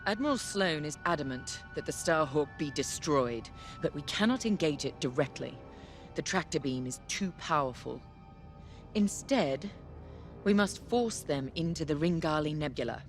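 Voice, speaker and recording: An actress playing a cold, vengeful female military commander in a video game, speaking in a measured, menacing, formal way. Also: low voice, expressive. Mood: stern, controlled. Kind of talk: dramatic video game mission briefing. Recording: loud music behind.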